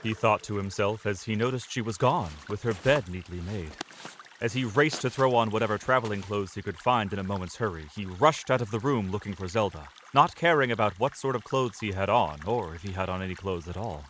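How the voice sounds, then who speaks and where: A man's voice: lowish voice, a little fast, fairly bright tone, a little hiss or hum. A man narrating an audio drama in a dramatic, scripted storytelling style.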